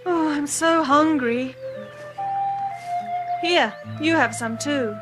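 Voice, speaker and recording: A woman's voice: high-pitched, takes it slowly, over music. A woman voicing a naive, kind young man in a children's cartoon, hesitant, earnest and easily astonished. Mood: hungry, astonished. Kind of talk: dubbed children's cartoon acting.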